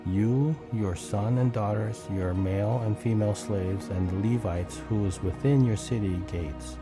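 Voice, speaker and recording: Low-voiced man reading scripture over music. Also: measured pace. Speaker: scripture reader. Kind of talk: Bible reading, scripted narration.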